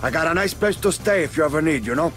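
A man's voice: a little high, quick pace, fairly bright tone, lightly acted. A man playing a brash, menacing drug kingpin with a Cuban accent and a cocky, profane manner in a video game. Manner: cocky, profane, tough-talking. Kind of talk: video game cutscene acting. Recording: game music behind the voice.

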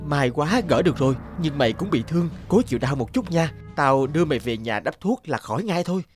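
A man plays a humble young peasant in a cartoon. He is gentle and earnest, with a lively, swinging pitch over music. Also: fairly quick, lightly acted. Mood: gentle, caring. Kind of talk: cartoon dialogue.

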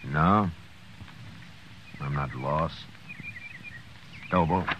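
An actor playing a tough, unflappable American marshal of the Old West, terse and dry, in radio drama style. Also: male voice, very deep voice, extremely slow, lo-fi sound, background noise, exaggerated voice. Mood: cool, calm. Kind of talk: 1950s radio western acting and first-person narration.

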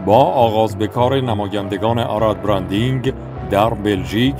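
A man reading a scripted voice-over in a rapid announcer delivery. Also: fairly low pitch, music in the background. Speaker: narrator.

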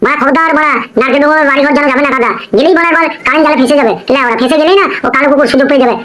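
Female performer as male henchman, scolding, foul-mouthed, extremely high pitch, breakneck delivery, cartoon. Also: rapid-fire, a bit bright. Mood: urgent warning. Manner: scolding, curse-laden. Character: excitable, foul-mouthed male henchman warning his boss. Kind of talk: amateur comic cartoon dialogue.

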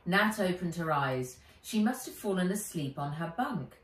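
A middle-aged woman reading a children's book aloud as its author, with measured, animated storytelling. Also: on the low side. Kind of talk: expressive children's audiobook-style narration. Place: living room by a window. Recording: roomy, distant home camera sound.

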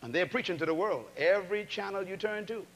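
A middle-aged man preaching a sermon to a large congregation in a church auditorium, animated, calling out to the crowd and swinging from loud to soft. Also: sits high, fast talker, low-quality recording. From Bahamas. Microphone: handheld mic.

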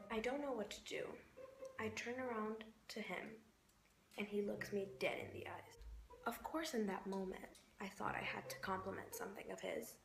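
Young woman reading sarcastically in an echoey room. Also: mid-range voice. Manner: sarcastic, deliberate, dramatic pauses. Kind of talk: dramatic comic reading from a book. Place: bright bedroom indoors. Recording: roomy echo. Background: clear music.

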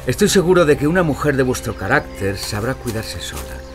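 A gallant, commanding male outlaw leader in an audio drama. His medium-pitched voice moves widely and theatrically, with courtly phrasing. Music runs clearly underneath. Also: very animated, brisk. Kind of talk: Spanish audio drama acting.

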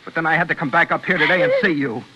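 A man's voice: fairly high pitch, very fast, heavy background noise, lightly acted. A man playing an obsessive, jilted boyfriend in a 1940s radio drama, intense and emotional, wheedling and menacing. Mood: angry, menacing. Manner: intense, emotional, shifts from soft coaxing to bullying. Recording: muffled 1940s radio broadcast recording.

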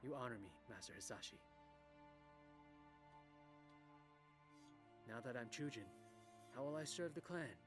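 A man's voice: medium pitch, animated, fast talker, over music, close to an everyday voice. A young man playing an intense, emotional, resentful ninja son in a video game. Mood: earnest. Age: young adult. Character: hot-headed, wounded young male ninja warrior, resentful son. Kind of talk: dramatic video game acting.

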